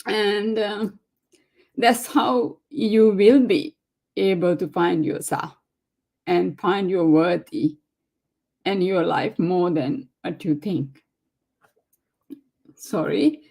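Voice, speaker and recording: Sri Lankan woman, lowish voice, non-native English, emotional and emphatic motivational talk. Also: steady tone, slow, creaky, long pauses, darkish tone. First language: not English. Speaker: guest speaker.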